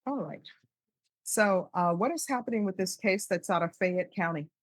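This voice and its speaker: An American woman speaking as a judge, putting formal procedural questions in a brisk, authoritative, rote manner. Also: mid-range voice, no echo, clean studio sound. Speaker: judge presiding over a plea hearing. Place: courtroom.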